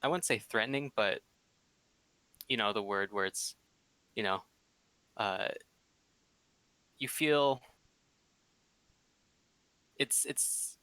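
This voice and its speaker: Bright, lowish young man chatting casually. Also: takes it slowly, pauses often, no room sound. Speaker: podcast guest. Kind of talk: casual chat.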